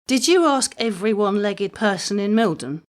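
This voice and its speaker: A woman's voice: medium pitch, lively intonation, moderate pace, natural voice. A woman playing a chatty, wry mother with a mischievous streak in an audio drama comedy, speaking conversationally with dry timing. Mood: dry, exasperated.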